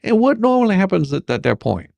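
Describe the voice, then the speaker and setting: A performer playing a bumbling, sarcastic questioning attorney in a comedic deposition reading, halting and theatrical. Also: mid-range voice, sing-song, vocal fry, darkish tone, no room sound. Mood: probing, sarcastic. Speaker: dramatic reader.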